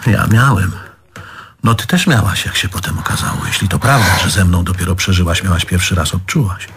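An actor playing a jealous, self-pitying man reproaching his lover in halting, literary phrases, in theatrical stage style. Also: low voice, animated, a bit bright, a little hiss or hum. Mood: hurt, insistent. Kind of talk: theatrical stage drama acting.

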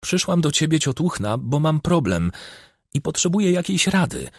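A man's voice: fairly high pitch, sing-song, moderate pace, vocal fry, slightly rough, no echo, studio quality, voice pushed up, full character voice. A man voicing a troubled, discontented young woman with a complaining, pleading manner in an audiobook. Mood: hesitant.

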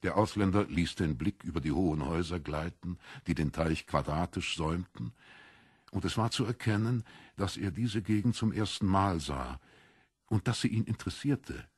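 A man narrates a novel in a low, somewhat husky voice. His storytelling is measured and deliberate, recorded close with no room sound. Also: lively intonation, no room sound. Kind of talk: scripted literary narration.

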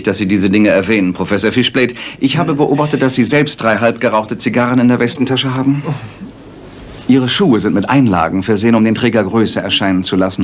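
An actor playing a coolly superior, eccentric detective in a radio play, speaking with precise, self-assured, analytical phrasing. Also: male voice, medium pitch, a little hiss or hum, natural voice. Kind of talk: German radio play acting.

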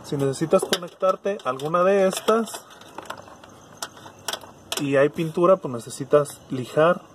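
Hombre mexicano explicando reparación de auto, voz algo aguda, ruido de fondo leve. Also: male voice, on the high side, a little slow, background noise. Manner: casual, thinking aloud with restarts. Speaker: car electronics tutor. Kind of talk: hands-on repair demonstration.

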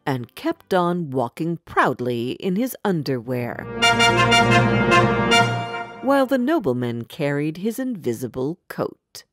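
A woman narrating a scripted fairy tale for children in an expressive, measured storytelling manner. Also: low-pitched, faint music behind.

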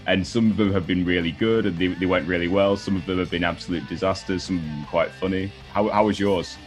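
A young man hosting a casual interview over a video call, asking relaxed conversational questions. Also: low voice, fast talker, soft background music. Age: young adult. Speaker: interviewer, host. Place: indoor home room, video call.

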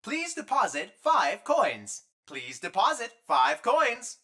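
An actor voicing a stern, insistent animatronic bear with a mechanical manner for a video game. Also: male voice, very high-pitched, wildly swooping pitch, takes it slowly, gravelly, bright, forceful tone, exaggerated voice.

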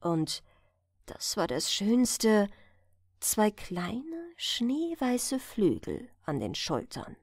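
A woman reading a fairy tale aloud as an audiobook narrator, in an expressive storytelling style. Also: medium pitch, very slow, slightly rough, no room sound, clean studio sound. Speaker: audiobook reader.

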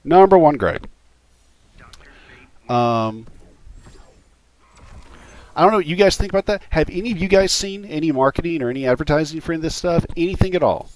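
A man's voice: fairly high pitch, animated, moderate pace, long pauses, no echo. A man hosting a casual gaming livestream, talking in an informal, rambling, sweary way into a desktop microphone. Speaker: podcast host and game streamer.

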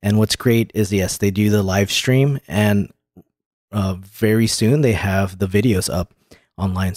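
A man's voice: lowish voice, darkish tone, dry recording, clean studio sound. A man hosting a podcast, chatting casually and explaining things about tech. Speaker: podcast host.

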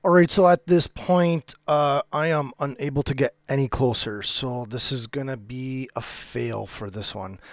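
A man's voice: mid-range voice, fairly even intonation, unhurried, hardly any pauses, no echo, studio quality. A man casually commenting on his gameplay and chatting with a friend. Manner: chatty, talks to friends over voice chat. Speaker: gaming channel host.

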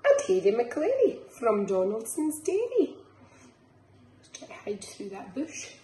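Middle-aged woman, Scottish accent, unhurried sing-song picture-book reading, nursery storytime, echoey room. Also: on the high side, huge pitch swings, dark tone. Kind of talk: expressive picture-book read-aloud for young children. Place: small living room, indoors. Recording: roomy echo, faint background noise.

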